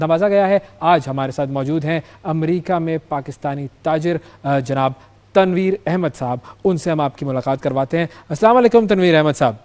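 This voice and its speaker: A man with a Pakistani accent presenting and interviewing as a current affairs host, in a measured, emphatic, formal manner. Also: fairly high pitch, a little mellow. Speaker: news programme host.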